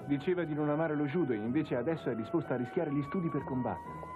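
Earnest, animated young cartoon guy. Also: a little high, quick pace. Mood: earnest concern. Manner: earnest, expressive. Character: earnest, excitable young male judo follower. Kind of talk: cartoon dubbing. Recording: narrow phone-like dub with music behind.

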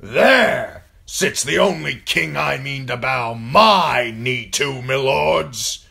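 Fantasy audiobook role: massive fierce warlord, thunderous, scornful, raised shouting delivery. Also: male voice, a little high, sing-song, a little slow, creaky, voice pushed up, full character voice. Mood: rousing defiance. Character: huge booming northern lord, fierce, defiant rebel. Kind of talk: audiobook fantasy character acting.

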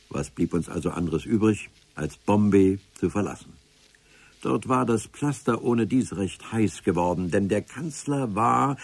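Low husky ministry official, dry close sound, calm ironic telling. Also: male voice, no echo, full character voice. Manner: measured, ironic storytelling. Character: dry male ministry official keeping the record. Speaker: narrator. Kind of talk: scripted radio play narration.

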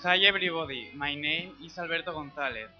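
Teenage male voice under loud music. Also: on the high side, quick pace, dull audio. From Spain. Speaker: competitor. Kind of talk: short formal stage introduction. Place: large indoor sports hall stage. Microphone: handheld microphone.

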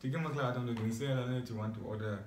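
A man's voice: medium pitch, fairly even intonation, racing, a little mellow. A young man with a Namibian accent in casual, joking chat. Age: young adult. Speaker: prank caller in a group video. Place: small living room, indoors. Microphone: camera a short distance away. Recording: noisy room recording, clicks.

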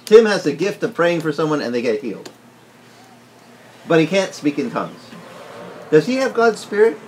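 A middle-aged man whose first language is Italian, preaching an animated teaching sermon indoors and asking the room questions. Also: fairly high pitch, normal speed, slight background noise. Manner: animated, gesturing broadly, asks the room questions. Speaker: pastor. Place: wood-paneled indoor room.

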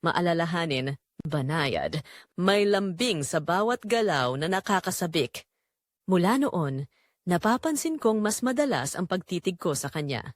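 A woman narrating an audio drama as a middle-aged mother-in-law confessing secret longing, in an intimate, measured way. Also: fairly low pitch, lively intonation, no room sound, studio quality. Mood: confessional, yearning. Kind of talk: first-person dramatic storytelling.

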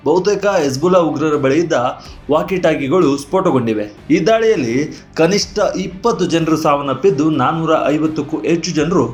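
A man reading a scripted news voice-over with brisk, emphatic headline delivery. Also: fairly high pitch. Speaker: news narrator. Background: faint music bed.

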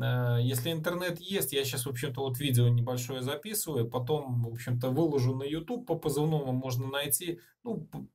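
A man with a creaky, gravelly voice speaks as an amateur radio operator in a home radio room. His talk is casual and halting, passing between remarks and radio procedure. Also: mid-range voice, darkish tone. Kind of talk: casual ham radio contact. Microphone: handheld camera filming the radio.

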